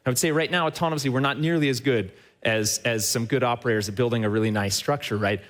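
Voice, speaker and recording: An enthusiastic man giving a live presentation, his pitch sitting at an ordinary middle level for a man. He speaks straight to the crowd in front of him and keeps checking in with a quick tag question as he explains. The delivery stays animated and conversational rather than scripted. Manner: addresses the audience directly. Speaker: company CEO giving a stage talk. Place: trade show stage before a live audience.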